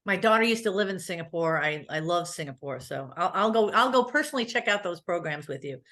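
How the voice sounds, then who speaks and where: A middle-aged woman answering questions as a conference speaker in a conversational, animated, anecdotal way. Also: fairly low pitch, brisk. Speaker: guest speaker, physical therapist.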